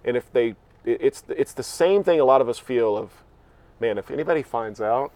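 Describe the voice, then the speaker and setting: A man's voice: medium pitch, lively intonation, brisk, darkish tone. A man, an author guest on a podcast, talking earnestly and reflectively with some self-deprecating humor. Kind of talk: casual interview chat.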